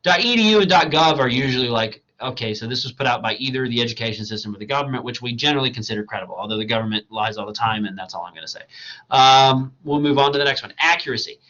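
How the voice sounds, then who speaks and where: Guy giving a recorded class lecture, bright voice, a bit hoarse, dull lo-fi mic, keeps saying right. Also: mid-range voice, quick pace. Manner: conversational and digressive, asks "right?" often. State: losing his voice. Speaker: college writing instructor.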